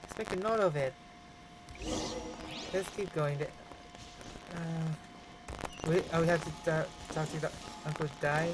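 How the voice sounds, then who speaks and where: A woman game streamer with a low voice and a slow, casual delivery. She comments playfully on the game while its music plays behind her. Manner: playfully echoes game dialogue. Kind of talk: casual live gameplay commentary.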